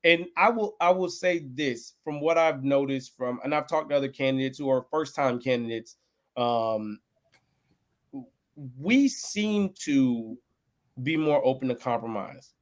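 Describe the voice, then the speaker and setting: A young man running for office, chatting casually about politics in an animated, slangy way. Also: medium pitch, unhurried. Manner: animated, slangy, drops into mock dialogue. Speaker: political candidate.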